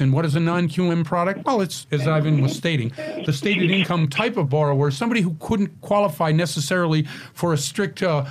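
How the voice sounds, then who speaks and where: A middle-aged man hosts a radio talk show in a casual, chatty way. His voice sits a little high for a man, and he pauses often on fillers and restarts sentences as he talks. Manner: chatty, hesitant with many fillers.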